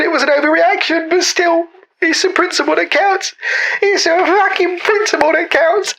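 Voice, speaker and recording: A woman's voice: high-pitched, pushed and bright, heavily acted. A woman with a British accent playing an aggrieved former online creator, rambling and melodramatic, in a comedy sketch. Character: indignant, aggrieved female former ASMR creator.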